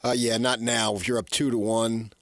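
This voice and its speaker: An American man co-hosting sports radio, talking casually in halting, self-correcting phrases. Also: medium pitch, level tone, dry recording, studio quality.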